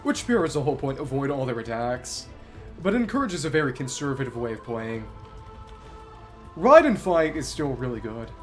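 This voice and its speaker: A man's voice: mid-range voice, sing-song, moderate pace. A man doing live gameplay commentary as a streamer, talking analytically through tactics to himself. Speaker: game streamer. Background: music behind the voice.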